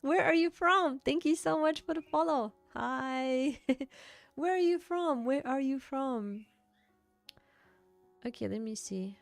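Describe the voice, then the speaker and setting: Young woman streamer, chatty and upbeat, slightly high pitch with a gravelly edge. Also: dry recording, soft background music. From Bulgaria. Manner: chatty, reads and answers chat, quick laughs. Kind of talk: casual livestream chat with viewers.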